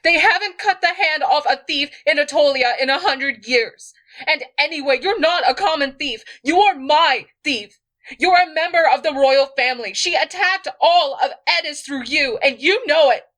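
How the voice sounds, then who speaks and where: Woman doing a hot-tempered young queen, sharp, loud, snapping, storybook reading. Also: high voice, moderate pace, voice pushed up. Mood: furious.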